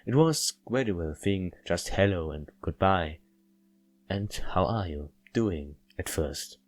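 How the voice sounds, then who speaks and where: A withdrawn, brooding young loner narrating a visual novel, read by a man. His voice sits low, with a reflective, reluctant, deadpan delivery. The recording is dry and close. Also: slow pace, dry recording, heavily acted.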